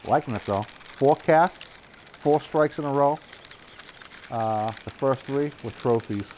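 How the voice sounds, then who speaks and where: A young man with a Boston accent, chatting casually as he streams a fishing game, getting excited at each good catch and laughing often. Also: mid-range voice, a little slow, a little hiss or hum, rough recording. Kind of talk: casual live gameplay commentary.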